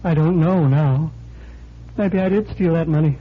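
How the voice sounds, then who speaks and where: A man's voice: fairly high pitch, unhurried, slightly rough. An actor playing a tormented bank teller afraid for his sanity, distraught and faltering, in 1940s radio style. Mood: troubled, confiding. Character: tormented, desperate male bank teller fearing madness. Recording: thin, lo-fi 1940s radio recording.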